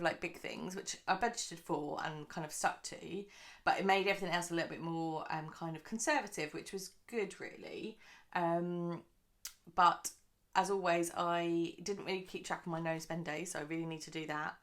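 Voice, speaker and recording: Chatty, slightly croaky woman vlogger. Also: on the low side, normal speed, a bit bright. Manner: chatty, rambling, many fillers. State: a little croaky from early morning. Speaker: budgeting channel host. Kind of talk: casual chatty monthly budget vlog.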